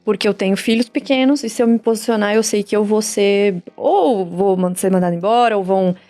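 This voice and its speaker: Woman teacher-commentator, explanatory and argumentative, mid pitch. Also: a little fast, warm tone, faint music behind. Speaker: educator and commentator. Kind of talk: casual panel discussion.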